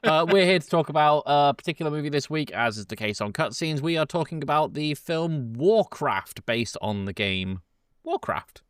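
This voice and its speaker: A man's voice: on the high side, animated, moderate pace, clear, resonant tone, no echo. A man hosting in a casual, chatty way, explaining things loosely and often stopping to rephrase himself. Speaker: podcast host. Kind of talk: casual chat with loose, informal summarising.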